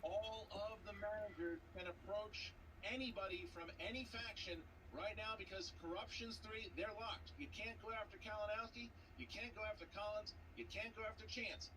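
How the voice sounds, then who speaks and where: A man with a slightly high voice works as host and announcer at a live event. He puts questions and makes announcements from a little off the mic, with noticeable noise. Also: steady tone, moderate pace. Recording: noisy, distant-miked event audio.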